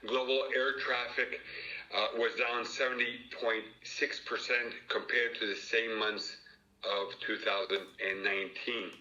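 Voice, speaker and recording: A man giving a formal prepared press briefing as an industry executive, reading in a measured, halting way. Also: medium pitch, little pitch movement, bright, forceful tone. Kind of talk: formal prepared presentation read aloud. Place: virtual press conference call. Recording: dull, roomy conference call audio.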